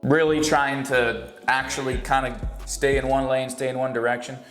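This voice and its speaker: A man's voice: medium pitch, level tone, moderate pace, a bit bright, roomy sound, soft background music. A man hosting an online interview in a casual, upbeat and joking manner. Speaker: host.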